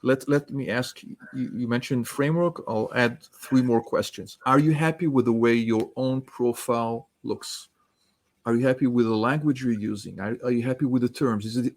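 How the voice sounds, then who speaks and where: Measured French-born man explaining. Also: mid-range voice. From France. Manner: measured, structured, poses questions. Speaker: author and guest. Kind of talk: interview answers.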